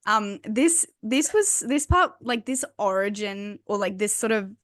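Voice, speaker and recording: A woman's voice: medium pitch, animated, a little slow, a bit bright, dry recording, studio quality. A woman co-hosting a podcast, chatting casually in a rambling way, with lots of filler words and laughter. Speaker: podcast co-host.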